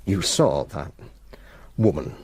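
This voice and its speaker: An actor playing a frightened, evasive small-town businessman in a period radio drama, hesitant and halting in his speech. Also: very deep voice, animated, darkish tone, slight background noise, full character voice. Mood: uneasy, hushed.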